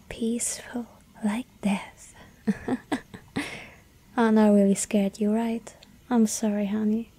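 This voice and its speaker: A woman voicing a lonely yandere vampire girl in an ASMR roleplay, whispering softly and pleading. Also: a little high. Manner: breathy soft whisper, pleading.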